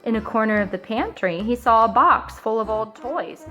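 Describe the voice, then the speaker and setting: A woman in her thirties reading a children's story aloud, expressive, with dramatic pauses. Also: a little high, dull audio, soft background music. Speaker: storybook reader. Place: living room sofa for the introduction.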